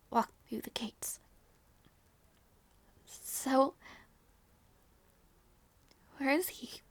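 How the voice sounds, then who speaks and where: A woman playing a gentle, anxious young princess in an intimate audio roleplay drama, speaking softly and haltingly. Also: on the high side, husky, dry recording, heavily acted. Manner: soft, hesitant, halting delivery.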